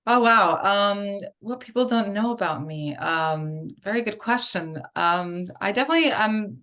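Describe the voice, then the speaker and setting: A woman's voice: mid-range voice, lively intonation, measured pace, a bit bright. A woman in her forties, from Portugal, answering interview questions in a hesitant way, with many fillers and restarts. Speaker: interview guest. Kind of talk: casual interview conversation.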